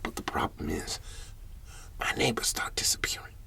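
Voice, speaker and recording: A man playing a shaken, humble country farmer in an ASMR roleplay, speaking in a halting, breathy whisper and repeating his words. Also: sharp tone. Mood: confiding.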